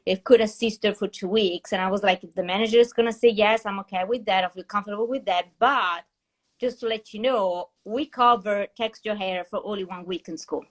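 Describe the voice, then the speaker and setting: A woman's voice: medium pitch, fairly quick, rough recording. A woman from Tuscany with an Italian accent, a hairstylist guest telling her story in a casual chat over a live video call, with non-native grammar and many restarts. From Italy (Tuscany). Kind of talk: casual live-stream interview. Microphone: phone in a live video call. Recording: compressed live-stream audio.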